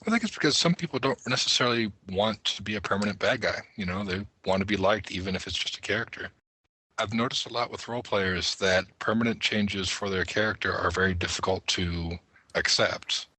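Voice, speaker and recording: A man's voice: on the low side, a little fast, sharp tone, a little hiss or hum, low-quality recording. A man speaking as a podcast panelist, casually arguing and explaining his points with small asides.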